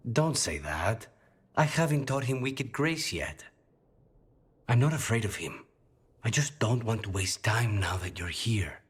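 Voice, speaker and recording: An actor playing a brooding, devoted assassin in a video game, weary and intimate, often speaking close to a whisper. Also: male voice, on the low side, expressive, measured pace. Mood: tender, weary. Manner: hushed, breathy, often near whispering. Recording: faint music underneath.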